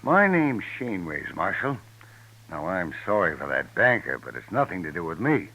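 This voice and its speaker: A man's voice: low-pitched, sing-song, moderate pace, bright, forceful tone, full character voice, rough recording. A man playing a stubborn, plain-spoken Old West card player in a 1950s radio western. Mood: defiant. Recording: vintage 1950s radio recording.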